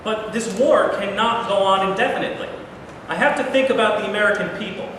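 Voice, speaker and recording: Distant, echoing hall recording. Casting: male actor as blunt, impatient wartime president, high-voiced. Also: fast, fairly bright tone. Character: irritable, plain-spoken American president, worried about war casualties. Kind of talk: staged reading of a play. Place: live reading in a large echoing room. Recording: very echoey noisy hall, from far off.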